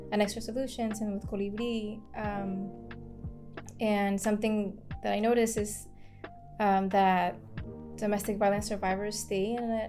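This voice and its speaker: A woman from Mexico speaking as a community organizer in an interview, talking haltingly with many fillers and restarts. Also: mid-range voice. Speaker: community land trust organizer. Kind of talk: casual interview talk.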